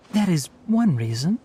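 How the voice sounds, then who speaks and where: A man voicing a calm, knowledgeable young forest ranger in a video game, articulate and measured, explaining things with dry care. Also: sits high, background noise, full character voice. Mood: reasoning. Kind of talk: video game character acting.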